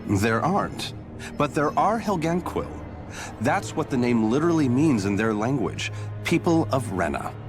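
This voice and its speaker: Actor doing a breezy blunt game guy who knows it all, super animated pitch, offhand, music behind. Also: normal speed, lightly acted. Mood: blunt, amused. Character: Renan man, breezy, blunt know-it-all informant. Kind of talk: video game cutscene voice acting.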